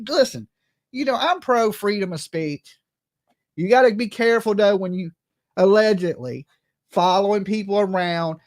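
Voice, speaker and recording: A livestream host with a brash, profane manner gives casual, gossipy commentary, often tagging statements with "okay?" or "right?". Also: medium pitch.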